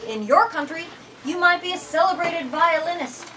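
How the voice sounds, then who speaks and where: A woman giving a theatrical, expressive reading aloud in a bookstore, adding her own commentary. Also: extremely high pitch, moderate pace, distant mic, slight background noise. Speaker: reader leading a bookstore literary talk.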